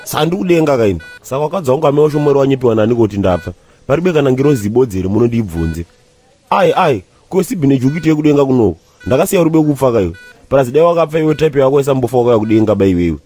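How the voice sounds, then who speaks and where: A man acts as an aggrieved Zimbabwean patient in a comedy cartoon. His husky, dark-toned voice rambles extremely fast, full of bewilderment, with faint music behind it. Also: medium pitch, animated. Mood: bewildered. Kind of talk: animated comedy dialogue.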